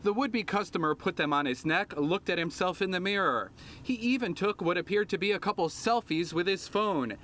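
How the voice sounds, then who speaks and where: A man's voice: sits high, lively intonation, normal speed, fairly bright tone, a little hiss or hum. A man narrating a scripted television news package as a reporter.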